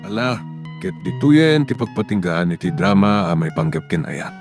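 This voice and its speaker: A man's voice: low-pitched, very animated, normal speed. A man delivering scripted narration as the narrator of a love drama, addressing the audience. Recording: plucked guitar music behind.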